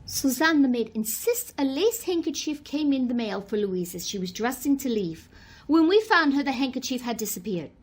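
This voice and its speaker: A woman playing an anxious, proper high-society lady in a radio mystery play, with a formal, theatrical manner. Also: sing-song, moderate pace, slightly rough, full character voice. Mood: anxious, indignant. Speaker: actor in an amateur radio play. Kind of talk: radio mystery drama acting.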